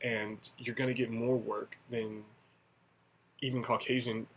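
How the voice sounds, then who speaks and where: A man's voice: mid-range voice, flat delivery, measured pace, a little hiss or hum, low-quality recording. A young man from the United States who teaches English in Asia, talking casually and rambling with lots of fillers. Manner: rambling, conversational, many fillers. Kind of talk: casual talking-head vlog.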